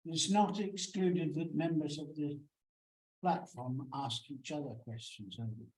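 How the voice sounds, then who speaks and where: A woman chairing a conference session, briskly inviting questions. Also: low-pitched, a little mellow, low-quality recording. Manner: brisk, courteous. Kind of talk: chairing a discussion. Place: conference hall.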